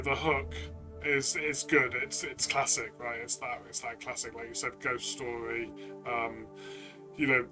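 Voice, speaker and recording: Flat-toned guy, medium pitch, casually co-hosting a game review, music clearly playing behind him. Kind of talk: review discussion, casual chat.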